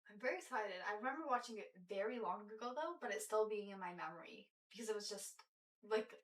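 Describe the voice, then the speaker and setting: A woman's voice: mid-range voice, quick pace, fairly bright tone. A young woman co-hosting a podcast, chatting casually with many fillers and restarts. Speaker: podcast co-host. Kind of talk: casual chat. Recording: roomy echo, distant mic.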